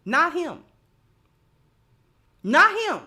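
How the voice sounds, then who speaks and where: A woman's voice: extremely high pitch, very animated, very slow, pushed and bright. An American woman host giving opinionated commentary in informal, colloquial speech, emphatic and repeating phrases to drive a point home. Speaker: livestream host.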